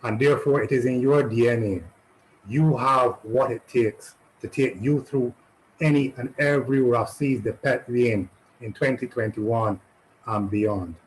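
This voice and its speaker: A man speaking to an online audience after his poem, deliberate and encouraging. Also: medium pitch, slight background noise, low-quality recording. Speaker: poet.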